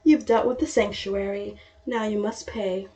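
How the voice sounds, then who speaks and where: A woman's voice: medium pitch, steady tone, measured pace, dark tone, close to an everyday voice. A woman playing a sinister young assassin in an audio drama, teasing and menacing her victims. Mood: mocking. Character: sinister, taunting young female assassin, crime boss's daughter.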